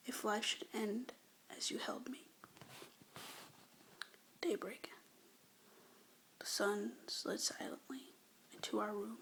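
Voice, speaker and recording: A young woman reads love poems in a soft, breathy whisper, her voice pitched a little high and catching in a gravelly fry. Between poems she rambles hesitantly, recording close to a phone or webcam. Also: low-quality recording. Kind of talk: whispered poetry reading, ASMR-like.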